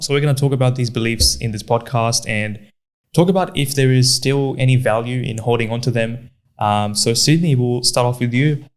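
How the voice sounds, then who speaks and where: A man's voice: mid-range voice, clear, resonant tone. Man, twenties, from Pakistan, Urdu first language, easygoing, encouraging podcast host. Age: 20s. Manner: easygoing and encouraging, with frequent "yeah" backchannels. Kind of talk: casual podcast chat.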